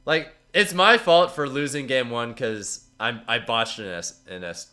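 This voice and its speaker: A man with a stuffy, congested voice from a cold, chatting casually with his stream viewers and reading out their messages in a relaxed, rambling way. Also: medium pitch, a little slow, soft background music. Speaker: streamer analyzing tournament matches.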